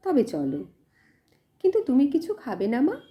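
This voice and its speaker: A woman's voice: high-pitched, wildly swooping pitch, measured pace, mellow, pitched above the performer's own voice, exaggerated voice. A woman voicing a humble, loyal village cowherd man in an audio story, simple, deferential and eager to help. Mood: obliging.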